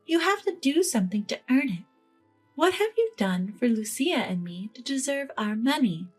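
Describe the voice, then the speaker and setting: A woman's voice: sits high, very animated, normal speed, husky, soft background music, pitched above the performer's own voice, heavily acted. A woman voicing a stern, exasperated older mother-in-law in a dramatized family story, firm and scolding.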